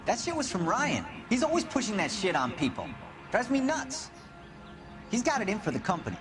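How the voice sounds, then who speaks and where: Defensive, animated working man. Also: high voice, fairly quick, over music. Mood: exasperated. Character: defensive, bitter 1940s working-class male gas fitter. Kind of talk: dramatic video game acting.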